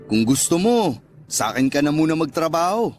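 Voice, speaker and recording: A man's voice: a little high, very animated, moderate pace. Light-voiced, flirtatious businessman lover. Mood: coaxing, generous. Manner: flirtatious, coaxing, casual. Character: smooth-talking, indulgent restaurant owner courting a lover. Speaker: voice actor in radio drama. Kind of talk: Tagalog radio drama dialogue. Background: background music.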